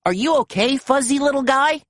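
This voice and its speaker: A woman voicing an eager, clumsy young male dalmatian pup in an excited, bouncy voice. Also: high-pitched, normal speed, no room sound, clean studio sound, pitched above the performer's own voice.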